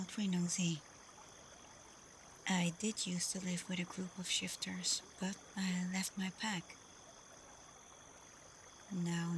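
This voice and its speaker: A woman performs a female werewolf pack leader in an intimate ASMR roleplay. Her voice sits fairly low and stays very level, soft and breathy. She is caring, protective and frank, with clear noise behind her. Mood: calm, matter-of-fact. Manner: soft, breathy, gently reassuring.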